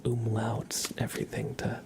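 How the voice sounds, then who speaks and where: A man whispering softly and breathily close to the mic as he hosts an ASMR livestream, chatting casually and gently repeating words. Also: lowish voice, completely flat delivery, gravelly, background noise. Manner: soft, repeats words and names slowly.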